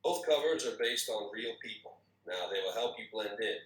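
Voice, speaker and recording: A man's voice: fairly low pitch, animated, moderate pace, fairly bright tone, distant mic. A man playing a businesslike mission handler briefing agents at a screenplay table read. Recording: echoey room, off the mic.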